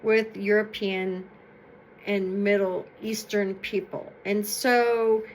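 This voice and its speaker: A woman reading stories aloud in an expressive, emphatic, explanatory way. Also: mid-range voice, takes it slowly, a little hiss or hum, low-quality recording. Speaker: storyteller reading folktales aloud. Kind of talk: expressive read-aloud storytelling with informal explanatory asides.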